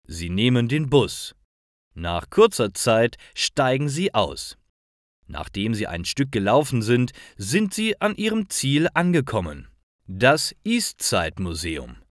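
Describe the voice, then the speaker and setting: A man reading scripted stories for language learners, phrasing each short sentence deliberately and enunciating clearly. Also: mid-range voice, no room sound, studio quality. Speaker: narrator of German learning stories.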